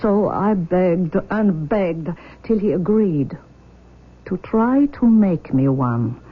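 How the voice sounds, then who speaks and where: An actress playing an intense, imperious forty-year-old Russian mother in a 1970s radio drama, speaking in emphatic, deliberate declarations. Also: lowish voice, lively intonation. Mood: fervent, intense. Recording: muffled vintage radio broadcast recording.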